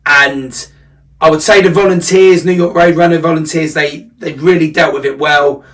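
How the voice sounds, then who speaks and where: A man talks through a personal recap in a conversational vlog style. His voice sits slightly high and is picked up from a little distance. He is reflective, leaning on key words for emphasis. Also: fairly even intonation, normal speed, fairly bright tone. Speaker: running coach vlogger.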